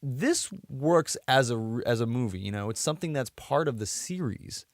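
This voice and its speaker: A man's voice: medium pitch, expressive, moderate pace, no echo, clean studio sound. A man co-hosting a podcast, chatting casually and conversationally about films. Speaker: podcast co-host. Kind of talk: casual chat about video game films.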